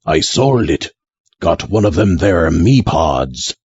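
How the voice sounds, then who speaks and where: Backwoods coot for audio comedy: gruff, husky, drawling slowly. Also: male voice, sits low, big pitch swings, a little mellow, exaggerated voice. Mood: folksy, unbothered. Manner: folksy, slow drawl. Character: slow-witted hillbilly old coot who mangles words. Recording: dull, close lo-fi recording.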